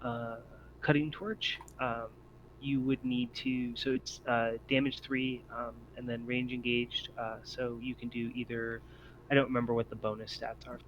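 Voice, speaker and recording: Bright-voiced man running a game, band-limited sound. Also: mid-range voice, little pitch movement, measured pace, lo-fi sound, slight background noise. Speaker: game master. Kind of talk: casual narration and rules talk in a tabletop game.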